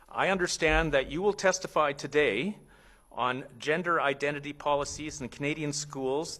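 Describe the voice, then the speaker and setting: A man's voice: on the high side, sharp tone. A man moderating a hearing, questioning a witness in a formal but conversational way and often rephrasing as he goes. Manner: halting, rephrases as they go.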